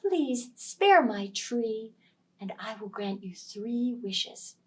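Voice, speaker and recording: A pleading spirit of the tree from a children's storybook, voiced by a woman storyteller. The voice is high and slow, stretching its words as it implores, and sits a bit back from the mic. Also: very animated, darkish tone, distant mic. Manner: drawn-out, imploring. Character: pleading magical tree spirit granting wishes.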